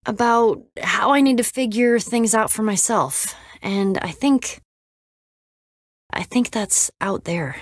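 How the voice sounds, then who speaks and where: A woman playing a guarded, sensitive young woman adventurer in a video game, with halting, emotional, conversational delivery. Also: medium pitch, slightly rough, no room sound, studio quality. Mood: earnest, sad.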